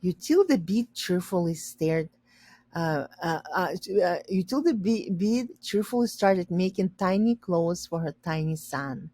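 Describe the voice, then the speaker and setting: A woman reading a children's story aloud and pausing to explain words simply for learners. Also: fairly low pitch, animated, warm tone, no room sound.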